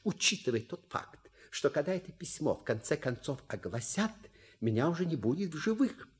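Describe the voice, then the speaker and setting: An actor playing a suave, wry manservant with polite, measured, theatrical delivery in a stage comedy audio play. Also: male voice, on the high side, big pitch swings, slightly rough, heavily acted. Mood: solemn declaiming. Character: suave, wry manservant reading out a scandalous letter.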